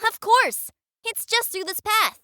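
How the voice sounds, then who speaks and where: A woman as Wickston, high-pitched little candle creature. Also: expressive, a little slow, bright, forceful tone, no room sound, studio quality, full character voice. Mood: eager, confident. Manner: childlike, eager, innocent. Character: Wickston, small cheerful naive living candle creature, male. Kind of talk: audio drama acting.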